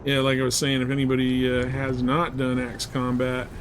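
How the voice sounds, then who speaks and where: A man's voice: mid-range voice, monotone, moderate pace, slight background noise. A man giving casual, rambling commentary while livestreaming a space combat game. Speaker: live streamer playing a space combat game.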